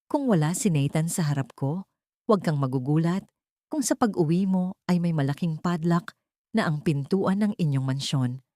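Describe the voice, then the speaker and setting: A woman voicing a cold, vengeful young mother turned heiress in an audio story, calm, commanding and menacing. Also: low voice, normal speed, no room sound, studio quality. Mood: steely ultimatum.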